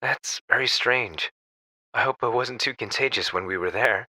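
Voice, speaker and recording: A man's voice: mid-range voice, normal speed, pushed and bright, no room sound. An actor playing a caring, inquisitive male friend, attentive and conversational, in an audio drama. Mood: curious concern.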